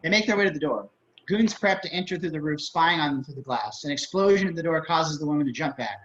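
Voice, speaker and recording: Fast-talking man reading stage directions. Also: on the high side, lively intonation, a bit bright, slight background noise. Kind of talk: scripted narration for a table reading. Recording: dull video-call audio.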